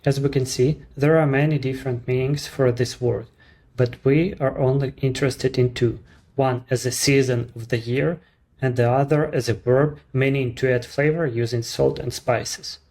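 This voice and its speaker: Man, Ukrainian accent, native Ukrainian speaker, measured explanatory delivery, software walkthrough narration. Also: medium pitch, steady tone. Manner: measured, explanatory, slightly non-native phrasing. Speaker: app creator presenting his service. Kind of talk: screen-recorded software walkthrough.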